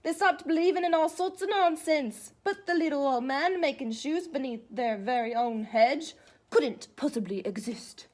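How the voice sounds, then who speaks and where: A woman's voice: sits high, normal speed, darkish tone, natural voice. A woman reading the part of a feisty, proud little old leprechaun cobbler from a storybook, with boastful, folksy phrasing. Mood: proud, storytelling.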